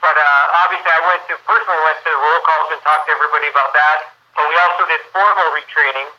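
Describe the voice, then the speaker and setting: A man's voice: on the high side, fairly even intonation, fast talker, sharp tone. A man speaking as a police chief, answering interview questions over the phone in a matter-of-fact, official manner. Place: telephone call. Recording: band-limited telephone line.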